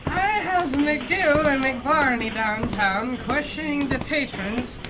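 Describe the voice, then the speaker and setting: A woman's voice: high voice, takes it slowly, noisy background. A woman playing a hard-drinking, melodramatic police officer in a parody pulp audio drama. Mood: matter-of-fact.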